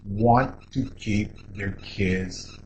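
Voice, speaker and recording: An older man with a deep voice chatting casually as a podcast co-host, rambling and animated, with many restarts. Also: extremely slow, slight background noise, poor audio. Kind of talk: casual chat.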